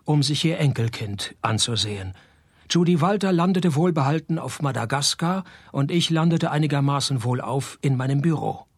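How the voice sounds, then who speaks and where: An actor playing a wry, sardonic private detective in a comic noir radio play, narrating and talking in deadpan. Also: male voice, fairly high pitch, slightly rough, no room sound, studio quality. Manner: deadpan, sarcastic. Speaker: narrator and lead character.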